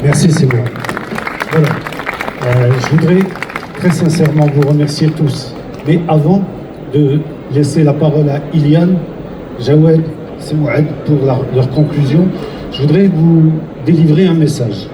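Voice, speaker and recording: A man moderating a public event and speaking sincerely and deliberately to the audience. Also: on the high side. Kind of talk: public address. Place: event hall. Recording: echoey room, distant mic, loud noise.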